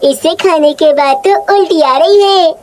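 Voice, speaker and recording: A woman's voice: very high-pitched, a little slow. A woman voicing a disgusted, scornful male bird customer in a children's cartoon, complaining dismissively.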